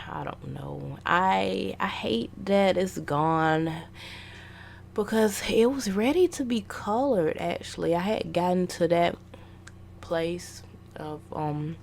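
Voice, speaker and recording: A woman's voice: fairly low pitch, slow, gravelly, a little mellow, a little hiss or hum. A young woman artist casually rambling about her goals, stuffy from a flu, with many ums and restarts. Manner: meandering, lots of ums and restarts. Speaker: artist vlogger.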